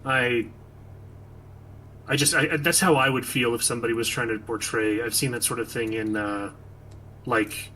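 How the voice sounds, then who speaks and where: A man's voice: mid-range voice, steady tone, moderate pace, pauses often, pushed and bright. A man calling in over an online voice chat, talking casually with a playful, joking manner. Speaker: call-in guest. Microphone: voice call over the internet. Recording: noisy online voice-chat call.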